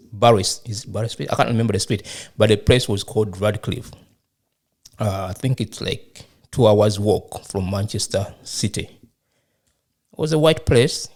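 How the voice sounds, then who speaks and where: A middle-aged man from Uganda answering interview questions about himself, speaking unhurriedly with frequent pauses and fillers. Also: fairly low pitch, darkish tone. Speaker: guest, charity founder.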